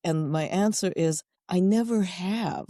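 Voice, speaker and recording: A woman's voice: fairly low pitch, measured pace, mellow, no room sound, studio quality. A middle-aged woman from Japan with a Japanese accent, speaking as an interview guest with wry, thoughtful, list-like phrasing. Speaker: guest sexologist and educator. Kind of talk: conversational interview answers.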